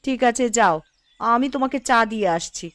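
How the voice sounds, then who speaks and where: A woman playing a scolding, fussing village wife and mother in a Bengali animated cartoon, with a nagging, exclamatory delivery. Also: a little high, brisk, no room sound, faint music behind, natural voice. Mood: brisk.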